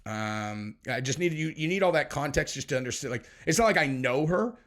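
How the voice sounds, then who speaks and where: A young man co-hosting a casual podcast, his voice sitting at an ordinary middle pitch. He tells his story in a loose, rambling way, swearing freely and leaning on fillers. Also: expressive, a little fast, fairly bright tone. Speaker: podcast co-host. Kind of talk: casual chat.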